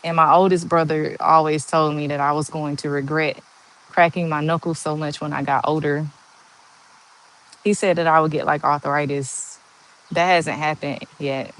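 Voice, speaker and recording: A woman in her forties with a monotone voice, chatting slowly and candidly on a livestream and answering viewers' comments. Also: low voice, low-quality recording. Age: 40s. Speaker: livestream host. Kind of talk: casual live chat answering viewer comments.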